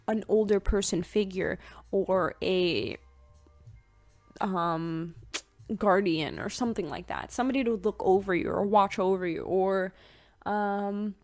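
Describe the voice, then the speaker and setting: A young woman in her twenties talks casually as a podcast host. She rambles in a chatty way with lots of fillers, at a middling pitch, recorded close with a dry sound. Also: dry recording. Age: 20s. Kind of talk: casual spiritual talk.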